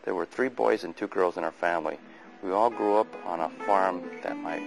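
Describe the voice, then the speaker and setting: A man in his fifties from California, whose first language is Chinese, recalling his childhood in a measured, plain, reflective way. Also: sits low, vocal fry, over music, poor audio. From Sunnyvale, California. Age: 50s. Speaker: oral history narrator. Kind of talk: reading a prepared memoir, then unscripted recollection.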